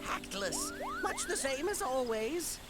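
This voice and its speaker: A composed, knowing female scholar in a fantasy game. Her high voice swoops expressively at an unhurried pace, formal and dryly wry, with music underneath. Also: wildly swooping pitch, heavily acted. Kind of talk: scripted fantasy game voice acting.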